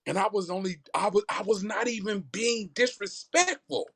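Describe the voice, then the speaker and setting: A man's voice: high voice, moderate pace, a bit bright. A man in his thirties speaking emphatically in a heated casual discussion, repeating words as he starts his points. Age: 30s. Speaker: discussion panelist.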